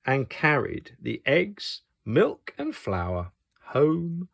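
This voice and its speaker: Slow, very animated male storyteller. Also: on the high side, gravelly. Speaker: children's storyteller. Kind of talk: expressive picture-book reading for young children.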